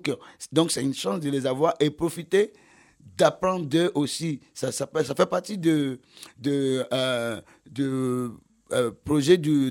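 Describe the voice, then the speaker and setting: A man from Nigeria whose first language is English, speaking French as a festival organizer in an interview, halting with many fillers and restarts. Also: a little high, darkish tone. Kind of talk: radio interview.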